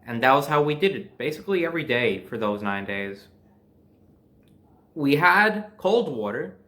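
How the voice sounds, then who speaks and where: A young man telling personal stories in a casual, animated way, swearing freely and acting out bits of dialogue. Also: mid-range voice, moderate pace. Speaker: storyteller.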